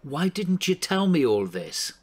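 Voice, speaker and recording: A bossy newspaper editor in a radio play. He scolds bluntly and with authority, his exasperated voice swinging widely in pitch. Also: sing-song, a little slow, breathy edge, full character voice. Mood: angry, exasperated. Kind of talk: audio drama acting.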